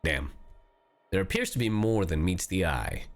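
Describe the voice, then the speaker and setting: A man's voice: sits low, normal speed, gravelly. A male streamer giving playful, casual commentary on a game and reading its story text aloud. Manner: animated, playful. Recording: music behind the voice.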